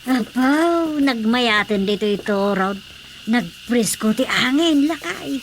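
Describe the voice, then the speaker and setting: A woman's voice: on the high side, animated, slow pace, soft background music. A woman playing a chatty middle-aged rural mother in a radio drama comedy, with folksy exclamations and an Ilocano accent. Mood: chatty, pleased.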